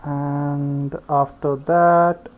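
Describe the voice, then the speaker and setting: A man giving an unscripted step-by-step software tutorial, speaking haltingly and thinking aloud as he works. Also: on the high side, flat delivery, a little hiss or hum. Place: indoors, screen-recording voice-over. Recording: dull lo-fi voice recording.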